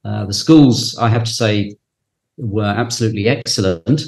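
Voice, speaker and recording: Interview guest: man, southern English accent, lower register, academic talking conversationally. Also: normal speed, creaky, noisy background. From southern England. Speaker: interview guest, university professor.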